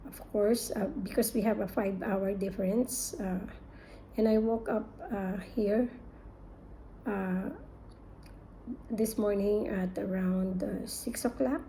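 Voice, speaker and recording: Slow, halting Filipino woman preacher. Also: medium pitch, steady tone, dark tone, slight background noise, rough recording. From Philippines. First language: Filipino (Tagalog). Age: older. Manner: halting, many fillers and restarts. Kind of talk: sermon introduction.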